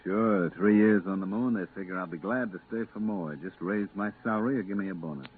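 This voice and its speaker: A man's voice: low voice, fairly quick, husky. An actor playing a weary, bitterly sarcastic man stuck at a moon base, curt and grumbling, in 1950s radio drama style. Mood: sour, sarcastic. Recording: tinny 1950s radio recording, faint music.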